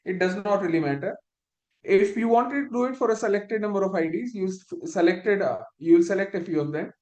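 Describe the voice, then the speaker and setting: A man with a fairly high voice walks learners through a spreadsheet task in a live online class. He is patient and methodical, often correcting himself mid-sentence. His computer mic picks up a noticeably echoey room. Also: fairly quick, a little mellow. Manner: step-by-step, patient, restarts phrases. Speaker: instructor.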